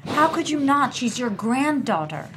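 A woman's voice: high voice, very animated, a little slow, fairly bright tone, slight background noise, full character voice. A woman playing a protective, defensive middle-aged daughter in a script table read, earnest and pleading.

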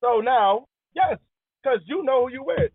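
A man's voice: very high-pitched, animated, measured pace, breathy edge, dry recording. A man co-hosting a casual talk show, arguing his points in an insistent, slangy, conversational way. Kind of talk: casual debating banter. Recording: tinny, phone-like band-limited audio.

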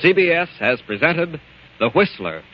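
A man's voice: fairly high pitch, big pitch swings, normal speed, loud music behind the voice. A man giving formal announcements in the style of a 1940s radio announcer. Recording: muffled old radio broadcast.

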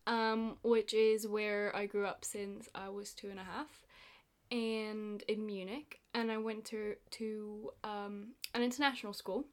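A young woman, a native Italian speaker from Germany, chatting as a podcast guest, laughing often and trailing off softly at the ends of her thoughts. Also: medium pitch, level tone, unhurried. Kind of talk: casual chat.

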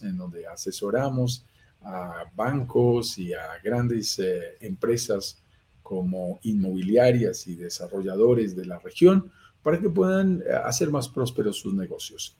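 Colombian guy, middle-aged, mellow voice, hosting a real estate livestream, earnest, lots of synonyms and "eh". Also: lowish voice. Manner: earnest, lists synonyms, frequent "eh" fillers. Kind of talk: livestream presentation to viewers.

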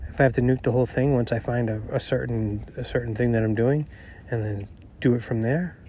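A man's voice: medium pitch, steady tone, a little fast, slight background noise. Rambling nasal middle-aged man. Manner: rambling, self-deprecating; quiet, not projecting. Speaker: podcast host. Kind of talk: casual solo monologue. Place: outdoors.

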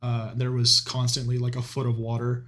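A man in his early twenties with a mid-range voice co-hosts a podcast. He talks with animated energy, laughs often and swears casually. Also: medium pitch, moderate pace, a little hiss or hum. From Texas. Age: 20s. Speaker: podcast co-host. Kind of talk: casual chat.

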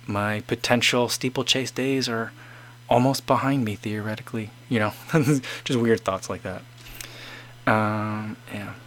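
A man's voice: fairly low pitch, steady tone, unhurried, fairly bright tone. A man in his forties casually chatting as host, reading out viewer comments and rambling with many restarts. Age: 40s.

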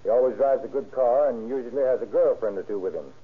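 A man's voice: mid-range voice, slightly rough, natural voice. A man playing a shrewd, matter-of-fact police narcotics chief in a 1930s radio drama, on an old narrow recording. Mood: businesslike. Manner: measured, matter-of-fact, authoritative. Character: shrewd, businesslike male narcotics bureau chief.

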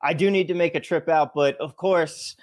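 A man's voice: on the high side, normal speed, no room sound, studio quality. An American man hosting a betting podcast, upbeat and a bit stumbling. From United States. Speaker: podcast host. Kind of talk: podcast interview.

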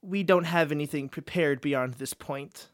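A woman playing an unhurried, obliging author in a scripted radio comedy. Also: low-pitched.

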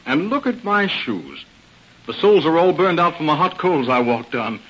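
Lively, smooth young playboy butler. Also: male voice, a little high, moderate pace, exaggerated voice. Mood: breezy. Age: young adult. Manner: glib, easygoing, polite. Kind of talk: 1930s radio drama acting. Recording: hissy, muffled old radio recording.

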